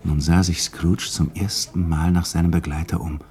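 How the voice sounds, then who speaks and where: A man's voice: sits low, flat delivery, normal speed, dark tone, a little hiss or hum. A man giving scripted storytelling narration as the narrator of a 1960s radio play.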